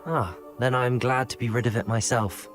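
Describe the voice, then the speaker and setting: An actor playing a polite, composed young wizard adventurer in a video game, speaking articulately. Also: male voice, medium pitch, fairly even intonation, moderate pace, faint music behind, close to an everyday voice. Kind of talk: video game cutscene acting.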